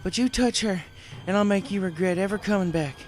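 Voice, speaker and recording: A fiercely stubborn female farm pony from a story, acted by a male narrator. The voice is pushed higher and sounds firm, protective and threatening, as if standing up to a foe. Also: a little fast, a little hiss or hum, lightly acted. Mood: defiant fear.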